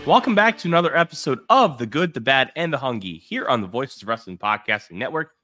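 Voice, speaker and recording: A man's voice: medium pitch, sing-song, fast talker, pushed and bright, soft background music. A man hosting a podcast, talking in a laid-back, loose way with frequent fillers. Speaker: podcast host. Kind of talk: casual chat.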